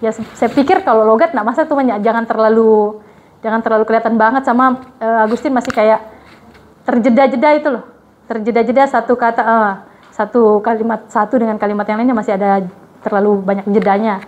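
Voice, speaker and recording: A woman's voice: a little high, steady tone, fast, a little mellow. A woman coaching trainees to read the news, in a casual, chatty way with many fillers and restarts.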